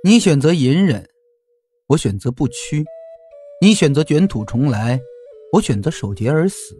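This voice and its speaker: Proud, weary, defiant scholar-official. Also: male voice, fairly high pitch, sing-song, warm tone, faint music behind. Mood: solemn, defiant. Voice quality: hoarse, weary. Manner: formal, solemn, measured rhetoric. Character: gaunt, proud, defiant male loyalist official on hunger strike. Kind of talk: Chinese costume audio drama acting.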